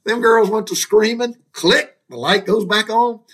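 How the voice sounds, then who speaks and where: A man's voice: high-pitched, unhurried, a bit bright, slightly rough. A middle-aged man, hosting a podcast and telling childhood stories in a rambling, folksy way with chuckles and mild cursing. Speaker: podcast host. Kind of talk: casual storytelling monologue.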